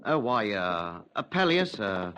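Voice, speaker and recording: A stammering murder suspect. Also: male voice, on the low side, big pitch swings, unhurried, pushed and bright, a little hiss or hum, heavily acted. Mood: evasive. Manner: stammering, hesitant, fumbling. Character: nervous male murder suspect, evasive and guilty. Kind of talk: 1950s radio drama acting.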